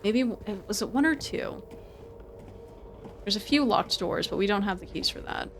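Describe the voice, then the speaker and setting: A woman's voice: mid-range voice, expressive, moderate pace, slight background noise. A woman giving casual live game commentary and chatting with viewers, informal and prone to repeating her words and phrases. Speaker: game streamer.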